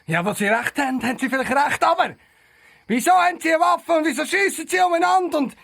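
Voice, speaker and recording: A man with a Swiss German accent playing an excitable, self-important, bossy detective with a creaky voice in a children's audio play. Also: very high-pitched, fast talker, full character voice. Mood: baffled, questioning. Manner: bossy, repeating himself, dramatic.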